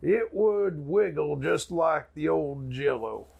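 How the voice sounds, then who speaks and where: A man playing a folksy, grandiose backwoods preacher telling a mock sermon in a raspy, gravelly voice with dramatic pauses. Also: a little high, expressive, a little mellow, dull audio, heavily acted. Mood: solemn, folksy. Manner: drawn-out, folksy, dramatic pauses and sudden swells.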